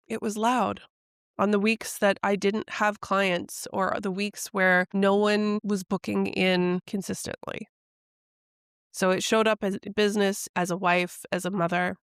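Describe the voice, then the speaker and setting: A middle-aged woman hosting a podcast, telling a personal story in a soft, reflective, confessional way, her voice still recovering from a head cold. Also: medium pitch, smooth, no room sound, clean studio sound. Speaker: podcast host and intuitive coach.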